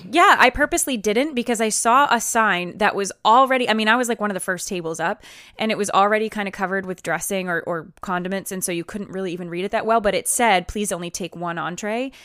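A woman's voice: mid-range voice, fast, no echo. Chatty woman telling wedding stories, dry mic. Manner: chatty, animated storyteller with laughs and restarts. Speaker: podcast co-host, wedding singer. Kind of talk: casual chat, storytelling.

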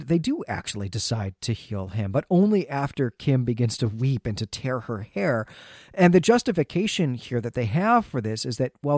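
A man's voice: medium pitch, a little mellow, dry recording, studio quality. A man hosting a podcast, talking through a literary recap in a careful, explanatory and emphatic conversational way. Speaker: podcast host.

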